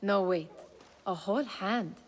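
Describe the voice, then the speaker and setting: A woman's voice: medium pitch, unhurried, slight background noise, lightly acted. A woman playing a cheeky, teasing young street thief in a video game cutscene, playful in manner. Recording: reverberant hall sound.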